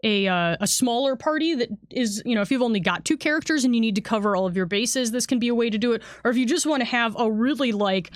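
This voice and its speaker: A woman's voice: fairly high pitch, expressive, fast, hardly any pauses, dry recording, clean studio sound. A woman in a casual, enthusiastic livestream chat, explaining tabletop game material as one of its designers.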